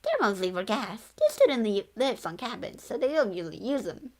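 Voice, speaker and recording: A woman's voice: on the high side, big pitch swings, a little fast. A woman voicing a friendly, chatty ghost with folksy, loose-grammared speech in a storybook read-along. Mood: helpful, folksy.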